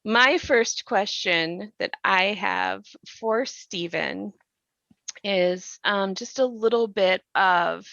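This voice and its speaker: A woman's voice: mid-range voice, slow, vocal fry, a bit bright, dry recording. A woman speaks as a podcast host in a mid-range voice. She explains things thoughtfully in a reflective discussion style. The sound is very dry and slightly dull, with little room on it.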